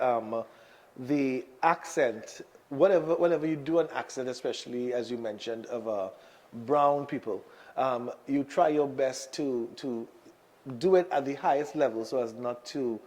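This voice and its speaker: A man's voice: medium pitch, a little mellow. A man hosting a talk show, chatting in an easygoing way with plenty of fillers. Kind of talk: casual interview chat.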